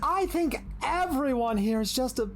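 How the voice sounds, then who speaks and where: A woman playing a self-satisfied, languid emotion in an audio drama, with a smug, drawn-out delivery. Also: high voice, animated, soft background music.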